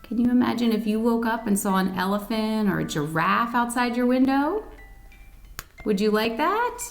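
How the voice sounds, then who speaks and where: A woman reading a picture book aloud to children as a storyteller, with an expressive, dramatic manner. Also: fairly high pitch, normal speed, vocal fry, soft background music. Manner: expressive, dramatic reading with questions to young listeners. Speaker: volunteer storyteller.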